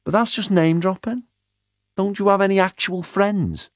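A man's voice: high voice, normal speed, dry recording, voice pushed up, lightly acted. A man puts on a young woman in an audio story. She is forthright and brave with a brittle sadness, speaking in a dry, close recording. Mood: insistent.

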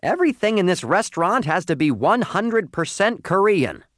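Man putting on a rude, high-pitched woman restaurant owner. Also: big pitch swings, normal speed, dry recording, clean studio sound. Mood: dismissive.

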